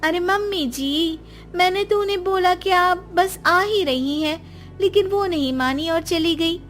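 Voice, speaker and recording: A woman voicing a shrill, sly young daughter-in-law. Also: a little slow, a bit bright, soft background music. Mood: sweetly sly. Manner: shrill, whining, fake sobbing.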